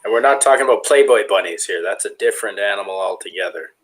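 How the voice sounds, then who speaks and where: A man's voice: lowish voice, lively intonation, quick pace, a bit bright. A man on a casual online panel chat who talks hesitantly, stumbling over his words.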